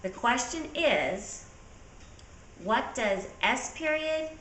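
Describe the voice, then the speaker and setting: A woman's voice: fairly high pitch, lively intonation, extremely slow, a bit bright. A woman speaking casually to viewers as an author presenting a trivia question. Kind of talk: casual talk to camera. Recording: echoey room with faint background noise.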